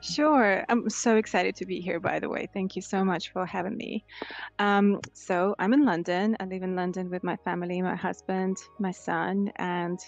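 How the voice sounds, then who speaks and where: A woman's voice: fairly low pitch, fairly even intonation, moderate pace, gravelly, muffled recording, soft background music. A woman in her thirties with a Russian accent, answering conversationally as a life coach in an interview. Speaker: life coach, podcast guest.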